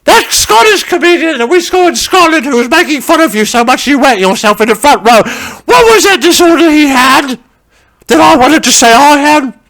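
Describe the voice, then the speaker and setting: A male comedian doing a nosy, crude old working-class wife who rambles on and on. The voice sits very high with a gravelly rasp. She keeps losing her thread and hollers at her husband and the dog. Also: animated, normal speed, heavily acted, rough recording. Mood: gossipy. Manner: rambling gossipy storytelling, shouting to husband and dog. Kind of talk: stand-up character monologue.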